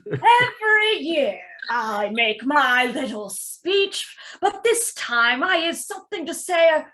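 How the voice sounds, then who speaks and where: Old hobbit played by a woman: bright, very slow, theatrical and halting speechmaker for a fantasy table read. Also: sits high, big pitch swings, full character voice. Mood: fond, ceremonious. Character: old male hobbit giving a birthday speech.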